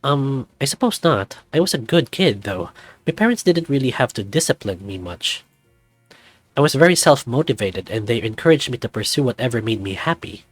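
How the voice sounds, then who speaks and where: A man's voice: mid-range voice, big pitch swings, moderate pace, soft background music. A man playing an easygoing, polite and earnest young man on holiday, with a casual, mild way of talking. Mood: relaxed, earnest.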